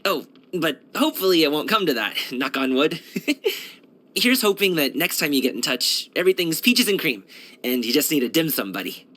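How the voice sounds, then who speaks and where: Cheerful young kung fu dancer for a game: bright, animated, breezy, casual slang. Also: male voice, a little high, normal speed. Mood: sincere, warm. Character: upbeat young male kung fu street dancer and courier. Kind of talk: video game character voice lines.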